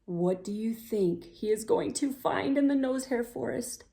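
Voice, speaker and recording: A woman telling a children's story in an expressive read-aloud style, theatrical and playful, with dramatic pauses and emphasis. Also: on the high side, gravelly. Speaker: children's story reader, host.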